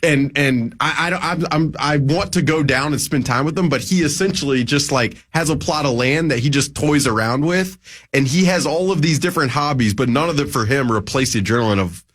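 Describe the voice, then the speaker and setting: A man's voice: fairly high pitch, a little fast, a bit bright. A man in his twenties talking casually as a sports reporter guest on a radio show, rambling with lots of fillers and restarts. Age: 20s.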